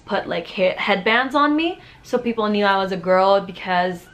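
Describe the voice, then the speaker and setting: A woman's voice: medium pitch, lively intonation, normal speed, a bit bright. A young woman in her twenties from the Dominican Republic, talking openly to her camera in a small bedroom, earnest, with many fillers and restarts. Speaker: vlogger. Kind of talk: candid sit-down vlog. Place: small bright bedroom, indoors.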